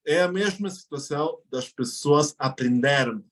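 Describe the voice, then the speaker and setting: A man from Mexico, a native Spanish speaker, talking as a guest in a relaxed interview and explaining things slowly with frequent self-corrections. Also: on the high side. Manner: explanatory, thinks aloud with pauses and self-corrections. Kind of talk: casual interview conversation.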